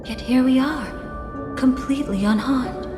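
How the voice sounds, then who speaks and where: Enigmatic game lady, teasing n composed, real slow talker, big echoey reverb w music. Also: high voice. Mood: measured, ominous. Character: enigmatic, teasing woman with a calm taste for danger. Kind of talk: video game cutscene voice acting. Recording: long echoing reverb, game music behind.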